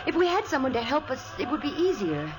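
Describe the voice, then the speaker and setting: A woman's voice: high voice, sing-song, fast, rough recording. An actress playing a tired, devoted wife soothing her husband, in a 1940s radio drama. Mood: weary. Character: weary devoted wife in a swamp. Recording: old radio drama with music.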